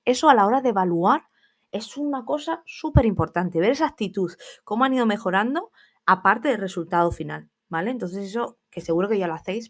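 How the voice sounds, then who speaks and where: Chatty Spanish woman podcaster. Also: medium pitch. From Spain. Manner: chatty and familiar, addressing listeners directly with asides. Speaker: teacher and podcast host. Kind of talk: casual solo podcast talk.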